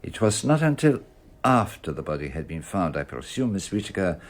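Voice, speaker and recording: A man's voice: sits low, very animated, normal speed. An actor playing a courteous male detective who questions formally and persistently in a radio mystery drama. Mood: polite, probing. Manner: polite, formal, probing questioner.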